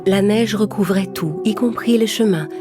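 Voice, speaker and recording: A woman narrating a scripted children's fairy tale in an expressive storytelling manner. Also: mid-range voice, normal speed. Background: prominent music.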